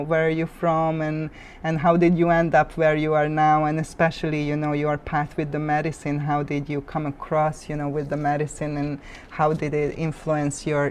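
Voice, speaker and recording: A woman's voice: sits low, darkish tone, slight background noise. A woman hosting a podcast, speaking in an enthusiastic, conversational way. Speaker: podcast host. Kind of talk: casual podcast interview.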